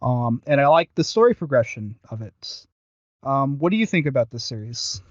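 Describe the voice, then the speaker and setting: A man's voice: mid-range voice, sing-song, moderate pace, dry recording. Man chatting, dry muffled recording. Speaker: podcast co-host. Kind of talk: casual chat reviewing audio dramas.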